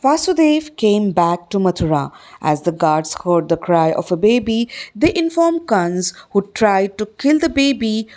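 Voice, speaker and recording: A woman's voice: mid-range voice, a little mellow. A woman with an Indian accent narrating a school play as dramatic scripted storytelling, over music. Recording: music behind the voice.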